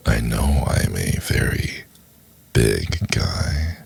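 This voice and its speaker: Very deep gravelly, seductive male professor acted by a man. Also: sing-song, no room sound, exaggerated voice. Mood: tender, seductive.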